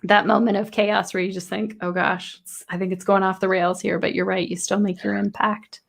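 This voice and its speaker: A woman's voice: mid-range voice, little pitch movement, fairly quick, vocal fry. A woman hosting a yoga teacher podcast, speaking in an encouraging, reflective way and asking open questions. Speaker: podcast host. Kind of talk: casual interview chat.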